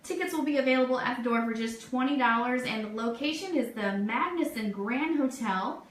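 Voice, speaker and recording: A woman's voice: fairly high pitch, fairly quick. A woman radio host chatting enthusiastically and informally as she runs through upbeat event announcements. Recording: roomy echo, steady background noise.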